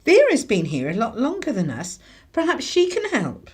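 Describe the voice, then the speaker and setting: A woman's voice: fairly high pitch, wildly swooping pitch, moderate pace, a little mellow, slightly rough, heavily acted. An actress playing a harried, sardonic English mother with a dry, scolding manner in a radio drama. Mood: polite. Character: harried, sardonic working-class mother of a young boy.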